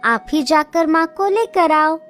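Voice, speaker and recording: A woman's voice: very high voice, expressive, unhurried, a bit bright, faint music behind, exaggerated voice. A woman voicing a young, expectant female sparrow who pleads anxiously with her husband in a cartoon. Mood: pleading.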